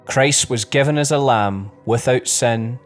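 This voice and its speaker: Male scripture reader, medium pitch, formal delivery, faint music behind. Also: a little slow. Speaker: Bible reader.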